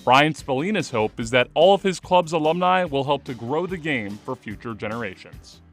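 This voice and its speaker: A man's voice: a little high, expressive, moderate pace, soft background music. A man reading a scripted sports radio report as the reporter.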